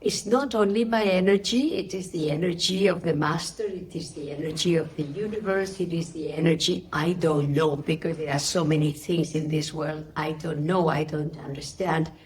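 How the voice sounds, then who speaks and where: Female interviewee, middle-aged or older, Spanish accent, slightly low register; an emphatic spiritual teacher sharing her experiences. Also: normal speed, few pauses, a little hiss or hum. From Spain. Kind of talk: interview answers with personal storytelling.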